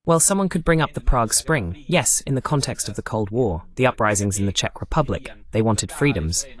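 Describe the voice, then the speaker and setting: A man's voice: medium pitch, expressive, a little fast. Male political host, very varied intonation, argumentative and emphatic in live commentary. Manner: argumentative, rhetorical questions, emphatic repetition.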